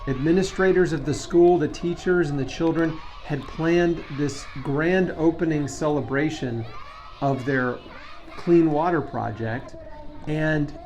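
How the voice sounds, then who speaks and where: Higher-pitched man, casual and earnest. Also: measured pace, a little mellow, a faint crowd, slight background noise, low-quality recording. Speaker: vlogger making a charity appeal. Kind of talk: casual talk to camera, fundraising pitch.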